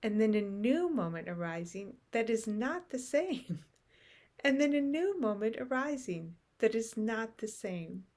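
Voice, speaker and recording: A woman leading a guided meditation in short, unhurried phrases with long pauses, softly trailing into breath at the ends. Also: on the high side, very animated. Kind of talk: guided meditation, unscripted and contemplative.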